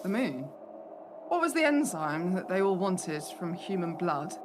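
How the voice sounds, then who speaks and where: An actress playing a shaken, suspicious young hotel assistant manager, asking hesitant, probing questions in a radio play. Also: female voice, lowish voice, sing-song, a little slow. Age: young adult. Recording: noisy recording with background ambience.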